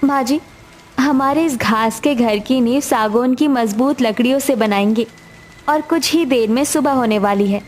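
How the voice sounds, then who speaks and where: A woman's voice: on the high side, normal speed, a little hiss or hum, natural voice. A woman voicing a poor, devoted, hopeful young daughter-in-law in a cartoon family story. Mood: determined, hopeful. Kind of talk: cartoon dialogue acting. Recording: music behind the voice.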